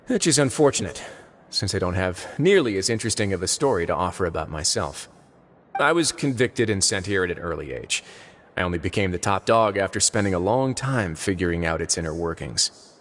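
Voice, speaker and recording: An actor playing a composed, wry and courteous duke in a video game, speaking in a measured, unhurried manner. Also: male voice, low-pitched, very animated, fairly bright tone, exaggerated voice.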